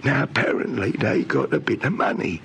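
An actor playing a garrulous old hobo informant in an old radio drama, eager and halting, repeating his words in colloquial speech. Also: medium pitch, sing-song, vocal fry, fairly bright tone, slightly rough, exaggerated voice. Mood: confiding, rambling. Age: older. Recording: noisy vintage radio drama recording.

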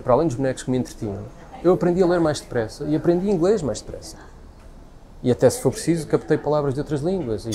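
A man's voice: medium pitch, warm tone. A man in his forties with a European Portuguese accent, speaking as an interview guest in a busy café and thinking aloud with frequent restarts. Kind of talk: casual conversational interview. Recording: café crowd noise behind. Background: café crowd chatter.

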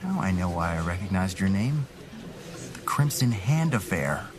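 A man's voice: low-pitched, very animated, normal speed, a crowd in the background. An actor playing a wry, earnest city councilman who is wounded, in an audio drama. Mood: friendly, pained. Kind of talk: full-cast audio drama acting.

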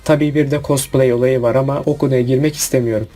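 A man's voice: medium pitch, fairly even intonation, fast, mellow. A man narrating a scripted countdown review. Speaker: video narrator. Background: background music.

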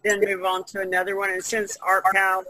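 A woman's voice: mid-range voice, completely flat delivery, fairly quick, sharp tone, dry recording, rough recording. A woman chatting casually as a participant in an online group call. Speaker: podcast participant, visual artist. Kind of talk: casual chat. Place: online video conference.

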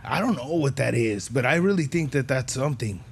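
A man's voice: mid-range voice, expressive, a little fast, creaky, a little hiss or hum. A man in his thirties hosting a podcast with casual, slangy, joking banter. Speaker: podcast host. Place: small curtained podcast studio. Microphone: podcast mic on desk stand.

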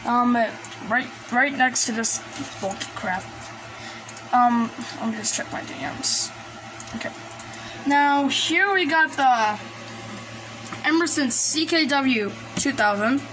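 High-voiced woman rambling through a noisy vlog. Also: takes it slowly, long pauses. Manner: hesitant, rambling, informal. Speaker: collector presenting gadgets. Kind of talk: casual show-and-tell vlog. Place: small indoor room at a desk. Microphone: handheld phone camera. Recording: noisy handheld recording, background hiss. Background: noise and radio devices.

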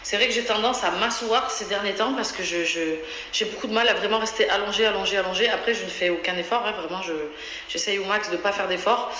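A woman's voice: mid-range voice, fairly even intonation, fast talker, sharp tone, roomy sound, slight background noise, low-quality recording. A pregnant woman in her thirties chatting casually to her phone camera at home, outspoken and chatty, with lots of fillers and restarts. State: pregnant, on bed rest. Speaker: vlogger. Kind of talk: casual vlog chat. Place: home interior, bedroom and bathroom. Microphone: phone held at arm's length.

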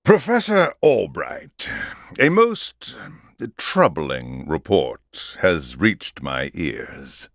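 An actor playing a stern older academy headmaster in a video game, speaking formally and with measured theatrical weight. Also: male voice, rumbling low, very animated, a bit bright, dry recording, clean studio sound, full character voice. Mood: stern, grave. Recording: orchestral game music behind the voice. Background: background music.

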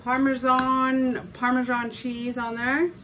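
A woman in her thirties chatting casually as she cooks at home and films on her phone, filling pauses with fillers and little apologies. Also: high voice, level tone, fairly bright tone, slight background noise. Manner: chatty, self-narrating, filler-heavy, apologetic. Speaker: home cooking vlogger. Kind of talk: casual cook-along chat. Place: home kitchen, indoors.

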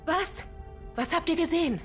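An actress playing an earnest, wary young starship pilot in a game dub, concerned and sincere. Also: female voice, very high-pitched, moderate pace, fairly bright tone, faint music behind. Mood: puzzled, concerned. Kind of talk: dubbed video game cinematic acting.

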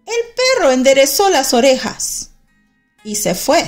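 A woman reading a children's picture book aloud in a slow, expressive storytelling manner. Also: high-pitched, soft background music. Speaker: storybook reader.

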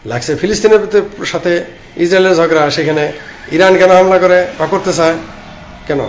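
A Bangladeshi man in his late fifties talks informally to live viewers through a phone, with clear background noise. His voice sits a little high. He rambles, circles back over phrases and needles with sarcasm as he comments. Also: fairly quick. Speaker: live-stream political commentator. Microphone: phone at arm's length. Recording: clearly noisy phone recording.

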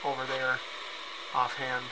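A man giving a casual, low-key hands-on radio demonstration, musing aloud as he goes. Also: completely flat delivery, slow. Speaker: radio reviewer. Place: concrete-walled cellar. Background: shortwave radio noise.

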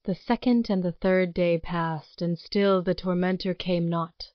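A woman's voice: on the low side, steady tone, measured pace, dry recording, muffled recording. A woman narrator reading scripted material for an audio drama podcast. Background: prominent music.

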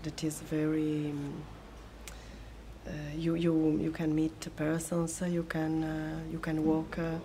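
Low, flat-voiced hesitant woman. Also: takes it slowly, a little hiss or hum. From France. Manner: hesitant, searching for words. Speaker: interviewee, longtime resident. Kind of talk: casual street interview. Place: narrow street outdoors.

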